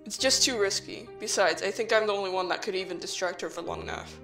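A woman playing an earnest, cautious human child in a fan audio drama, measured, serious and matter-of-fact. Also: mid-range voice, a bit bright, soft background music, lightly acted. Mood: firm, concerned.

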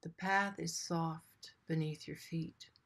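Female meditation guide, lowish register, very unhurried delivery, gentle and soothing, generous pauses. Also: steady tone. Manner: gentle, soothing, with long pauses between short phrases.

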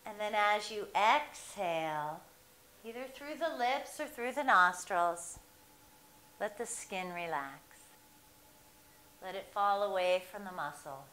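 A woman guiding a relaxation meditation, speaking in slow, soothing, drawn-out phrases with long pauses. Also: mid-range voice, lively intonation, sharp tone. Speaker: meditation guide. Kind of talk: guided meditation. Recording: echoey room with faint hiss.

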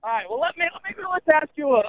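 A man's voice: extremely high pitch, animated, quick pace, narrow, tinny audio. A man hosting a comic street quiz for radio, teasing his contestant with mock-official questions.

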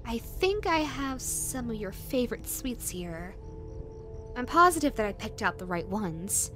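A woman's voice: on the high side, sing-song, a little slow, fairly bright tone, soft background music. A woman voicing a possessive, sweetly menacing yandere rabbit woman in a roleplay audio drama, coaxing and condescending in a saccharine way. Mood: sweet, doting.

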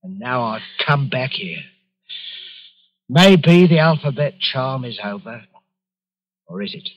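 A man's voice: medium pitch, animated, takes it slowly. Timid polite salesman suspected of murder, hesitant and brooding, old muffled radio show sound. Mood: brooding, menacing. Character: meek, troubled travelling salesman accused of murder. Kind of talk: 1940s radio drama acting.

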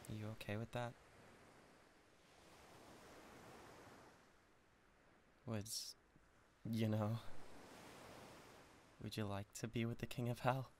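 A man's voice: lowish voice, gravelly, noisy background. A man voicing a lovestruck, awkward devil king of Hell in an intimate ASMR roleplay, hesitant and stammering. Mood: tender, shy. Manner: hesitant, stammering, swinging from tender to giddy. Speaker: audio roleplay voice actor.

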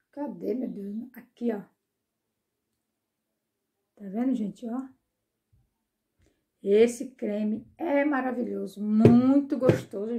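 A middle-aged woman with a slightly high voice talks to her followers in a relaxed, rambling way. She films on a phone held at arm's length inside a small home room. Her speech loops back and repeats as she goes. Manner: chatty, repeats words, calls viewers "gente". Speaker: vlogger. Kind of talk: casual vlog chat to viewers. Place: small home bedroom and kitchen, indoors.

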